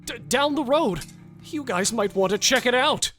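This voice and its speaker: A woman playing a hesitant townsperson in a fantasy audio drama, speaking haltingly. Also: fairly high pitch, lively intonation, loud music behind the voice, natural voice. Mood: anxious. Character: hesitant female townsperson bringing word to strangers.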